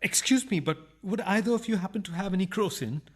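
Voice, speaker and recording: A man's voice: high-pitched, animated, fast, breathy edge. A man acting a meek, whining, apologetic husband caught hiding, in a farcical radio play. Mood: sheepish, placating. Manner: whiny, apologetic.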